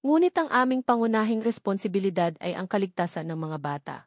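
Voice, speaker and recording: Female narrator as a formal, firm social worker in a Filipino audio drama story; Filipino accent, mid pitch, official delivery, dry sound. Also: fairly quick, darkish tone, no echo, natural voice. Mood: grave, official.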